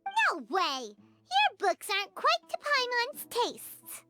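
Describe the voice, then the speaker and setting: A woman voicing a tiny, excitable, childlike female fairy companion in an anime game, squeaky and quick to exclaim and stammer. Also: exaggerated voice. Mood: indignant. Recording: loud music behind the voice.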